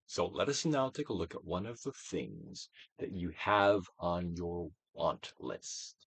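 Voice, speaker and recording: A man giving a dramatic, theatrical reading of a self-help book, emphatic, with swells and hushed drops. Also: sits low, big pitch swings, takes it slowly, breathy edge, no room sound. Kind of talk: theatrical dramatic reading of scripted instructional text.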